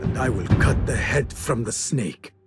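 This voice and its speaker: A man's voice: mid-range voice, expressive, a little slow, loud music behind the voice, close to an everyday voice. Man playing an earnest, determined young assassin. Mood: cold resolve. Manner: earnest, intense. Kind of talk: dramatic video game acting.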